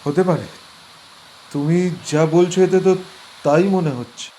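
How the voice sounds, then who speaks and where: A man acting a cautious, worried young man in an audio horror drama, asking measured, uneasy questions. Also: high voice, steady tone, mellow, poor audio. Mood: puzzled.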